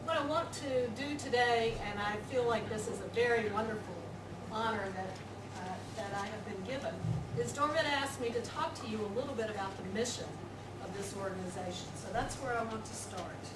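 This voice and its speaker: A woman with a Southern American accent delivers an earnest conference talk in a fairly high voice. She is picked up from a distance in a big echoey hall. A loud hiss sits under everything. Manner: earnest, measured, emphatic repetition. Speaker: conference speaker.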